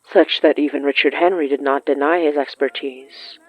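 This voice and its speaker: A narrator reading scripted horror fiction in a deliberate, literary way with dramatic pauses. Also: mid-range voice, fairly even intonation, muffled recording, faint music behind.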